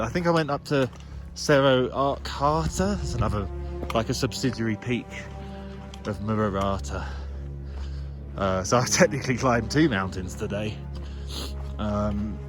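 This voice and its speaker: A man with a nasal voice, chatting in an awed, thinking-aloud way as he vlogs a solo climb outdoors high on a snowy mountain. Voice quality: nasal, from ski goggles. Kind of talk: casual vlog commentary. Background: music.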